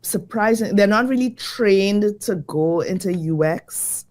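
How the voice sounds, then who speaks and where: A woman design educator, slightly croaky from jet lag, answering interview questions in a lively, thoughtful way. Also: medium pitch, slow pace. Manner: lively, thoughtful, many fillers.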